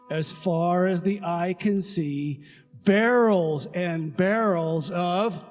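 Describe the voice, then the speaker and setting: A man playing a plucky time-traveling adventurer in a comedy radio play, reporting on a secret mission with dramatic, breezy deliberateness. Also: on the high side, darkish tone. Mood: awed. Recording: long hall reverb with music behind.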